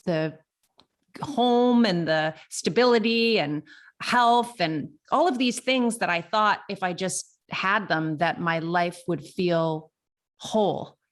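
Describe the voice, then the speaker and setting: A woman's voice: lowish voice, slow pace. A woman of fifty talking candidly and conversationally in a reflective solo podcast, with emphasis on key words. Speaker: podcast host.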